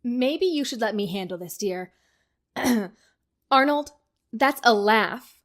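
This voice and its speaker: A woman playing a wry, gently bossy young wife with a teasing, conversational manner in an audio drama. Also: on the high side, expressive, takes it slowly. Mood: sardonic.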